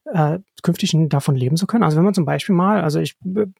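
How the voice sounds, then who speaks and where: A man, a podcast guest, talks in a husky, breathy voice that sits a little high. He thinks aloud, restarting phrases and tagging them with quick questions, and the sound is dry and close. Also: a little mellow, no echo. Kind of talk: casual podcast conversation.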